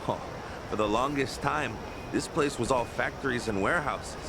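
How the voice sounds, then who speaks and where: A friendly, dutiful volunteer organizer in a game cutscene , acted by a man. He explains things easily in a mid-pitched voice, with clear outdoor town noise behind him. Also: moderate pace, close to an everyday voice. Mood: informative. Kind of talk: video game voice acting.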